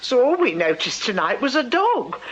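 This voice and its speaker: Imperious English mother, swooping sharp voice. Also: fairly high pitch, very animated, normal speed, husky, lo-fi sound, heavily acted. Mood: haughty, doting. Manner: grandiose royal we, withering sarcasm. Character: imperious, doting, sarcastic older music-hall mother. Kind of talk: full-cast radio drama acting.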